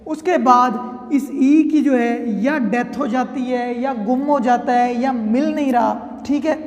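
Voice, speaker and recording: A woman's voice: high-pitched, darkish tone. A woman with a Pakistani accent teaching law, explaining with examples in a conversational way and often checking that the listener follows. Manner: conversational, often checking understanding with tag phrases. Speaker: law lecturer. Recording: echoey room with long reverb.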